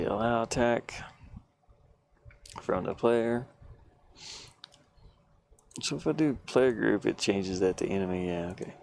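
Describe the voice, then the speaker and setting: A man's voice: medium pitch, gravelly. A man streaming live as he works, thinking aloud casually and trailing off mid-thought. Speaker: live streamer making a game. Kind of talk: casual livestream work commentary, thinking aloud. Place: live stream from his van. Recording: steady background noise.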